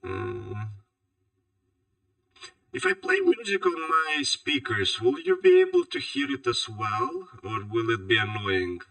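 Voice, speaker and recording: Rambling husky man, far from the mic. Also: medium pitch, huge pitch swings, slow pace, sharp tone. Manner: rambling, thinking aloud, asking himself questions. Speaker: streamer. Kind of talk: casual live-stream chat. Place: home, moving between PC and kitchen. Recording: distant, muffled home stream mic.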